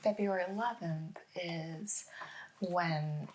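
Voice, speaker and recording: Woman as gentle policewoman, soft-spoken ASMR, hushed and whispery, patient, reassuring, noisy recording. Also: fairly low pitch. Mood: calmly probing. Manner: slow, reassuring, echoes back answers. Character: gentle, patient female police interrogator taking notes. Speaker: ASMR performer.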